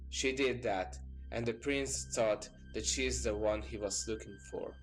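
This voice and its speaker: A man's voice: medium pitch, measured pace, rough recording. A man reading scripted, documentary-style narration as a storyteller. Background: background music.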